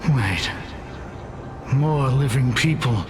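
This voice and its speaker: An actor voicing a forlorn, indignant dead fish seller who rambles and repeats himself in a video game. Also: male voice, mid-range voice, animated, slow pace, background noise. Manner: rambling, broken repetition.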